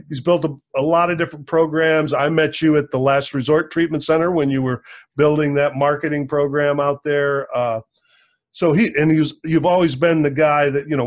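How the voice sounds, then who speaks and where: Halting older male podcast host. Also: medium pitch. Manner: halting, many fillers and restarts. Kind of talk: casual interview introduction. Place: home room. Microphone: video call.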